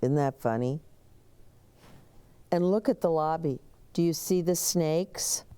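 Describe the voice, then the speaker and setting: A woman's voice: sits low, slow, dark tone, clean tone. A woman hosting a children's story time, reading aloud expressively with chatty asides, explanations and questions for young listeners.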